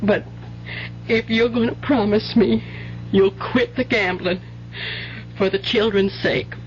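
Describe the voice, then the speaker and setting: A woman's voice: mid-range voice, fairly even intonation, slow, lightly acted. An actress playing a weary, wary working-class wife and mother with an American accent, plain-spoken and guarded, in a radio drama. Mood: hurt, wary. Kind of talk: old-time radio drama acting. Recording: dull, noisy 1970s radio recording with hum.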